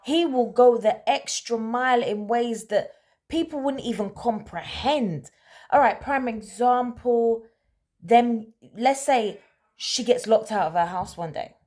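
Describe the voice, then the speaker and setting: A woman's voice: a little high, unhurried, a little mellow. Woman telling a story, casually and emphatically. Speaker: storyteller, host of a story-time series.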